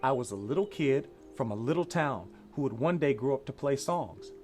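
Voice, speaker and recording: American male storyteller, encouraging and emphatic, very lively delivery over music, for a children's read-along. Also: mid-range voice, moderate pace. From Louisiana, USA. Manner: encouraging, emphatic, drawing listeners to join in.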